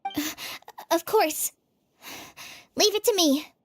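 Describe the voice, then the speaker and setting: High-voiced, earnest girl apprentice. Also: animated, slow, heavily acted. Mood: dutiful. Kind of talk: video game character acting.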